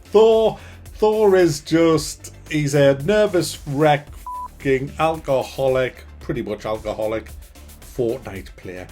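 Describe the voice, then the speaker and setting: A man's voice: a little high, slow pace, a little mellow, faint music behind. A man giving a ranting film review, sarcastic and full of swearing and stammered restarts.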